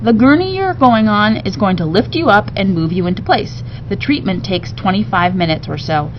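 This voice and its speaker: A woman voicing a brisk, joking male radiation technician from a children's novel, giving deadpan, teasing instructions. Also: mid-range voice, highs cut off, noisy background, close to an everyday voice. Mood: deadpan, instructive. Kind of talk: children's novel read aloud.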